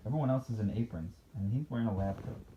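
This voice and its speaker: A man's voice: fairly low pitch, fast talker, warm tone. A man reading a picture book aloud as a dad to a child and chatting about it in a playfully critical, conversational way. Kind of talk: storybook read-aloud with casual chat. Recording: dull, noisy home recording.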